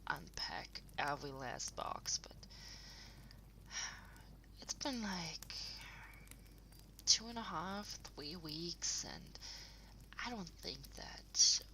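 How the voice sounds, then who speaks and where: A woman playing an affectionate partner in an intimate audio roleplay, rambling softly and hesitantly to the listener at home. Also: sits low, very animated, in a whisper, heavily acted, low-quality recording. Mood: cozy, coaxing. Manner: soft, breathy, hesitant rambling with trailing pauses.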